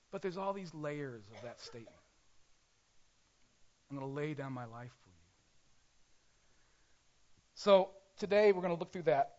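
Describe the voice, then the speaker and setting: A male pastor preaching a sermon in a conversational way, often pausing and correcting himself. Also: fairly high pitch, dull audio.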